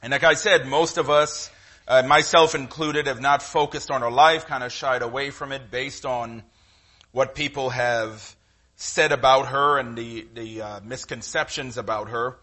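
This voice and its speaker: A middle-aged man with a Trinidadian accent, preaching a sermon in a conversational, halting way with frequent fillers. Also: mid-range voice, steady tone, bright, forceful tone. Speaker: guest preacher.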